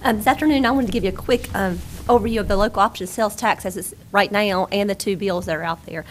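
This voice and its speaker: A woman presents a briefing to a county board, her voice squeaky as she gets over a cold. Faint room noise lingers underneath. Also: fast talker. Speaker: presenter on sales tax.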